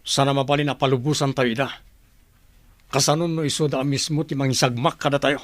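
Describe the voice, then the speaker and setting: Casting: actor as grave Ilocano armed-group commander, mid-pitched, measured authority, close dry radio drama sound. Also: male voice, fairly even intonation, no room sound, lightly acted. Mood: stern, grave. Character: stern male leader of an armed group.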